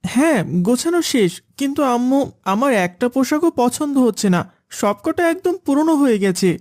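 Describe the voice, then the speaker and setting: A young cartoon boy with a high, earnest voice. The delivery is childlike and eager, as in an animated moral tale, and the sound is close and dry. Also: brisk, no room sound. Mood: eager, demanding. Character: young boys: spoiled eager son and gentle poor elder brother. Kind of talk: animated Islamic moral story voice acting.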